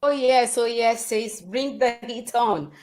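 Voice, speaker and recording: A woman's voice: fairly high pitch, slow pace, darkish tone. A woman hosting a livestream interview, speaking in an enthusiastic, encouraging way. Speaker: livestream host.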